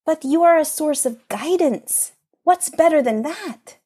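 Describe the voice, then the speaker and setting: A woman's voice: high voice, animated, unhurried, dark tone. A woman playing a brusque, exasperated witch teacher in an improvised comedy sketch. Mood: fed up. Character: exasperated, bossy witch teacher running house sorting.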